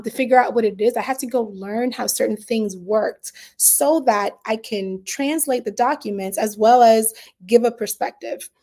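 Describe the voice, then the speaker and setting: Candid woman presenter with a higher voice. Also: a little mellow. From Dominican Republic. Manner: earnest, candid, frequent fillers and restarts. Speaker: solution engineer giving a summit talk. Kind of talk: conversational online presentation.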